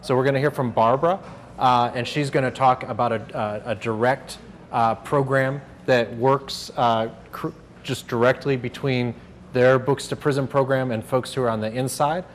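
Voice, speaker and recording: A man's voice: mid-range voice, steady tone, moderate pace. Man moderating a workshop over room noise. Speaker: workshop host and panel moderator. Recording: noisy room recording.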